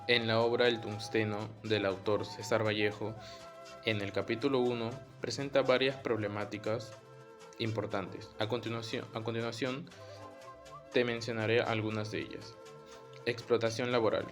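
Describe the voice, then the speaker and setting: A man reading scripted educational narration in measured short phrases. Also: on the low side, flat delivery, music in the background.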